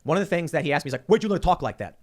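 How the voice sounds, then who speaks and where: Fast-talking man hosting a chat show. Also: on the high side. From Chicago. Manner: rapid, animated, repeats words when starting sentences. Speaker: podcast host. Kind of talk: casual talk-show chat.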